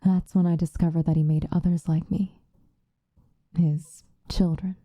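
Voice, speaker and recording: Woman playing a dark, hushed, seductive vampire. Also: lowish voice, flat delivery, no room sound. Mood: tender, nostalgic. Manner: soft, breathy, drifting into whispers, unhurried. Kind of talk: ASMR roleplay, intimate confessional monologue. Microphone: close microphone, dry.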